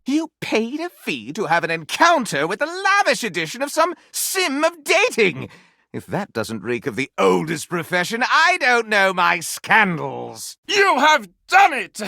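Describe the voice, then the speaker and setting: A flamboyant living candelabra from a video game, revels in scandal like a salacious dandy. The delivery is florid and archaic, with huge leaps in pitch and coy exclamations. The sound is dry and close. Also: male voice, bright, forceful tone, no echo, exaggerated voice. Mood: gleeful, theatrical. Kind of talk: video game character acting.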